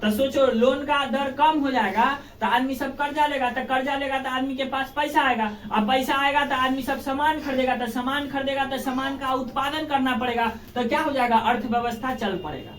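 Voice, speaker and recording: A man's voice: very high-pitched, moderate pace, few pauses, a bit bright, a little hiss or hum. A man with a Bihari accent teaching a class in simple colloquial terms, repeating phrases and asking prompting questions. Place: echoey classroom with pupils present. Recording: echoey room, distant microphone.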